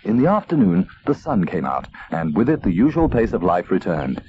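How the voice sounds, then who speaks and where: Hugely animated male children's storyteller. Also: sits low, moderate pace. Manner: expressive, theatrical. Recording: dull tape transfer, faint noise.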